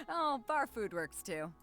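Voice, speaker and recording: A woman's voice: a little high, a bit bright, exaggerated voice. A woman playing a bold, easygoing female ship captain in a video game, breezy and teasing. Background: music.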